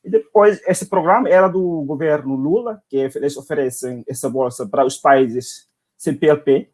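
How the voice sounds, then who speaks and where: A young man with a Timorese accent and a fairly high voice talks casually as a guest. He is a non-native speaker who halts, searches for words and repeats short phrases as he explains. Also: a little mellow. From Timor-Leste. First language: not Portuguese. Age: young adult. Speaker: guest, international university student. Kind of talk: casual spoken account in a live interview.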